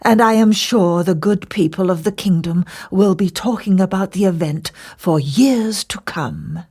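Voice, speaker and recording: A woman voicing a calm, mischievous empress in a fairy tale, speaking in a measured, knowing way. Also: on the low side, slightly rough. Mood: wry. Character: calm, slyly mischievous empress, the emperor's wife.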